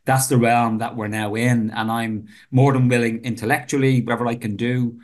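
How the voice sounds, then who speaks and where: A man's voice: medium pitch, little pitch movement, moderate pace. Earnest Dublin-accented man. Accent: Dublin Irish. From Ireland. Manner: earnest, repeats words as he starts sentences. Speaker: guest professor. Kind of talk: podcast interview conversation.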